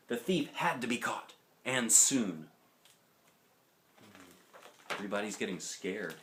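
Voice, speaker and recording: A man narrates a children's picture book in a highly animated voice. He leans on key words dramatically, then slips into casual asides and questions to whoever is listening. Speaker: dad reading a picture book aloud, show host. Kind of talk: expressive children's storybook narration with chatty asides.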